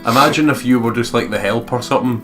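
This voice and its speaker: A man's voice: mid-range voice, quick pace, a bit bright. A man hosting a let's play, reading game narration aloud with casual commentary. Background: guitar music from game.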